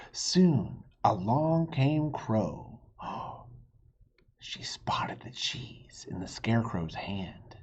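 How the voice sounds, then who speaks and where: A man reading a children's storybook aloud like a teacher, animated and stopping to ask the children questions. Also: mid-range voice, husky, highs cut off.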